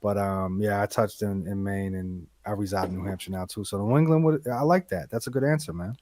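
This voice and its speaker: A laid-back, soft-spoken man co-hosting a casual livestream show. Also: lowish voice, brisk, dry recording. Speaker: show co-host.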